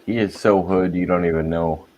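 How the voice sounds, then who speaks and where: A man's voice: sits low, steady tone, normal speed, darkish tone, slight background noise. A man co-hosting a casual live chat, teasing the others and laughing often.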